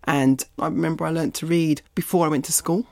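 A woman's voice: deep voice, fairly even intonation, brisk, gravelly, darkish tone, dry recording, studio quality. A middle-aged woman, from Barbados and raised in East London, speaking as an interview guest and telling reflective stories about her childhood. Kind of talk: reflective conversational storytelling.

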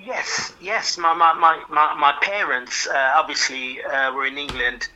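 An older man from Jamaica, recalling his past in an interview with a hesitant manner, often repeating words and chuckling softly. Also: on the high side, fairly even intonation, unhurried, highs cut off, slight background noise. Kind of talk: reminiscing interview.

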